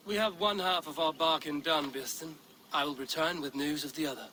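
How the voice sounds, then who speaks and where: An actor playing a stern, steadfast Viking warrior with a measured, dry, commanding manner in a video game. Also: male voice, fairly high pitch, fairly even intonation, pushed and bright, slight background noise. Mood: calm, measured.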